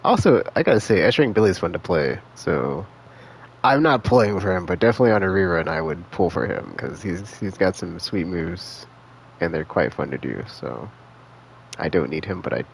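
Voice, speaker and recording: Low, gravelly man rambling on a game stream. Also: lively intonation, normal speed, a little hiss or hum. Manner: rambling, hesitant, slangy, thinking aloud. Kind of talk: casual live stream commentary.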